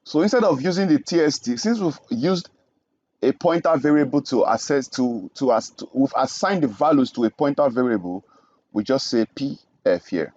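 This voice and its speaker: A man's voice: mid-range voice, expressive, moderate pace, a little mellow, dull audio. A young man from Nigeria teaching programming in a screen-recorded tutorial, explaining each step and checking in with "okay?". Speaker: programming instructor.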